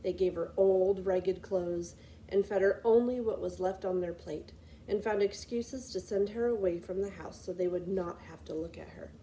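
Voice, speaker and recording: A woman's voice: medium pitch, moderate pace, dark tone, poor audio. Woman narrating fairy tales over background noise. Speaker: library storyteller. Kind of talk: children's fairy tale narration.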